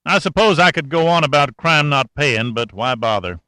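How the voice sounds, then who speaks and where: A man's voice: on the high side, expressive, moderate pace, sharp tone, no echo, pitched above the performer's own voice. A man voicing a cool, wry air force major with a dry, clipped manner, in an audiobook reading.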